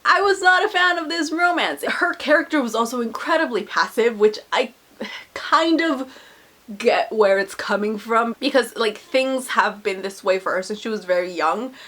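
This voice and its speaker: A woman's voice: on the high side, lively intonation, normal speed, fairly bright tone. A woman talks through her recent reading in a loose, chatty vlog manner, full of "like" fillers and frank opinions. Her voice is a little nasal and stuffy from a cold she is still getting over. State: recovering from a cold. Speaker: book vlogger. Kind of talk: casual book review chat.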